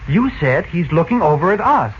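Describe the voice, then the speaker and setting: A man's voice: a little high, big pitch swings, normal speed. A slangy, keen teenage son in a vintage radio adventure. His lightish voice swings up and down, through a dull, faintly noisy recording. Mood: eager. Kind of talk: 1940s radio acting.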